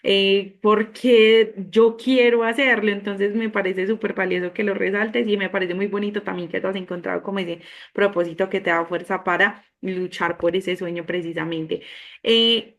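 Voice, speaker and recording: A woman who works for the agency and interviews a participant. She is encouraging and expressive. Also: mid-range voice.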